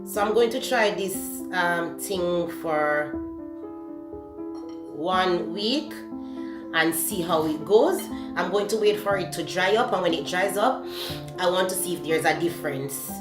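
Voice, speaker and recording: Brief: young Jamaican woman, lowish voice, candid beauty vlogger, searches for words, kitchen room echo. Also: expressive, unhurried. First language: Jamaican Patois. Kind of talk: casual talk-to-camera beauty vlog. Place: home kitchen, indoors. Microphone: camera close to the face. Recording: echoey kitchen with background music.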